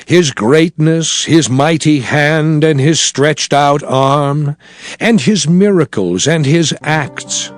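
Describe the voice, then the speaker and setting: A man playing a solemn prophet exhorting his people in a measured, declamatory scripture drama. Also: on the high side. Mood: solemn exhortation. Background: music.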